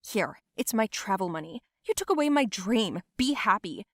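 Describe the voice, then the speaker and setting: A woman's voice: medium pitch, big pitch swings, normal speed, a bit bright, no room sound, clean studio sound. A woman playing a sarcastic, vengeful young woman who speaks in an emphatic, accusing way in a story. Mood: bitter.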